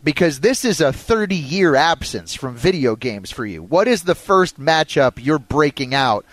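A male sports radio host with a high-pitched voice, recorded dry and close. He chats casually and excitably, with a playful edge, moving between banter and sponsor reads. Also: a little slow, no echo.

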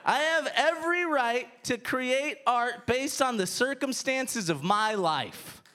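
A man doing stand-up before a live theater crowd, his voice high and animated. He talks in a loose, storytelling way. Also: slow, a little hiss or hum. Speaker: stand-up comedian. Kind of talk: stand-up comedy set.

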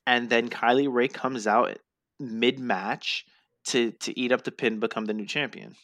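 A man in casual podcast chat, blunt and animated as he rants. His voice is high and sits close on a dry, roomless mic. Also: a little slow, no room sound. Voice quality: says he has a high voice. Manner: blunt, profane, animated ranting. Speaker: podcast co-host.

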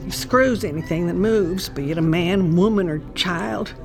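A woman's voice: on the low side, moderate pace, over music, natural voice. Woman acting an imperious, scolding old matriarch. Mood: scolding, contemptuous. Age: older. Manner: haughty, scolding, formal diction. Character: imperious, cruel old matriarch of a rich family. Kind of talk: audio drama acting.

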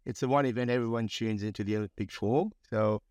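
A man in his forties from Fiji, a pharmacist guest talking in a relaxed, reflective way with many fillers. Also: lowish voice, fast talker, no echo, studio quality. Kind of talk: conversational interview.

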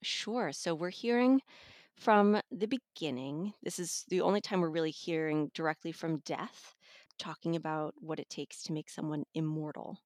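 A woman's voice: sits low, moderate pace, a little mellow, dry recording, clean studio sound. A woman talking enthusiastically about an audiobook as an interview guest, laughing now and then. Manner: enthusiastic, conversational, laughs often. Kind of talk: casual interview chat.

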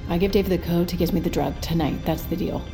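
A woman's voice: fairly low pitch, fairly even intonation, quick pace, over music, close to an everyday voice. An actress playing a tough, reluctant woman who bargains with exasperation in an audio drama. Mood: hard bargaining.

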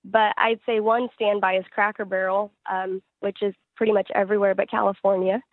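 Even-toned woman giving interview answers. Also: mid-range voice, fairly quick, no echo, phone-quality sound. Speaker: interview guest, gospel singer-songwriter.

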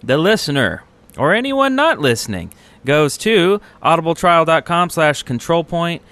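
A man's voice: a little high, very animated, moderate pace, a bit bright. A man hosting a podcast in a casual, easygoing and agreeable way. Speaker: podcast host.

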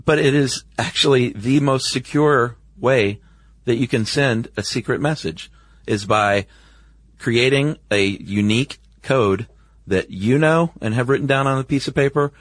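A man's voice: medium pitch, measured pace, dry recording. A man co-hosting a podcast in casual, informal, folksy conversation. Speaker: podcast co-host.

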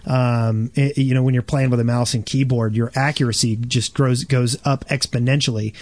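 A man's voice: mid-range voice, fairly even intonation, normal speed, darkish tone, no echo. A man co-hosts a casual gaming podcast in a mid-range voice. He restarts phrases often and thinks aloud as he goes. The sound is dry, with very little room in it. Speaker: podcast host. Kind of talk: casual panel chat about gaming.

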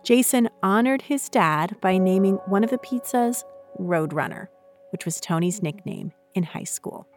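A woman's voice: medium pitch, animated, measured pace, darkish tone, faint music behind. A woman hosting radio, reading scripted narration into a close studio microphone. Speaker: radio host.

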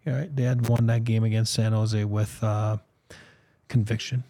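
A man's voice: fairly low pitch, level tone, moderate pace, warm tone, dry recording. A man, a former hockey player whose first language is French, co-hosting a casual sports podcast with a French accent touched by Boston, rambling with lots of ums and "you know". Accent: French, with some Boston. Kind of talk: casual sports talk with ad reads.

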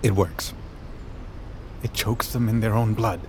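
A man's voice: lowish voice, fairly even intonation, a little slow, darkish tone, a little hiss or hum, lightly acted. An actor playing a stern, older father who knows hidden things, speaking solemn, authoritative, archaic lines in an audio drama. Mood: cryptic. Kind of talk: audio fiction drama acting.

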